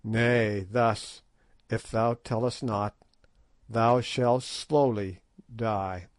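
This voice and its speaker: A man's voice: on the low side, steady tone, drawn-out words, dry recording. A man voicing a stern king who speaks in solemn, commanding tones. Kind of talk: audiobook character voice.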